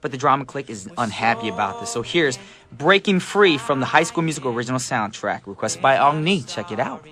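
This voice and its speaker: A man's voice: mid-range voice, lively intonation, fairly quick, bright, forceful tone. A man hosting a live radio show in a chatty, casual style with playful asides, close to a studio microphone.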